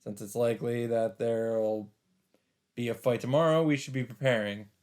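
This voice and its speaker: A man's voice: medium pitch, steady tone, moderate pace, darkish tone. A man hosting a let's play, reading game narration aloud with casual, mock-dramatic commentary. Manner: mock-dramatic, interjects swearing and side remarks. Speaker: let's play host reading a visual novel. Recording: game music under the voice. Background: visual novel background music.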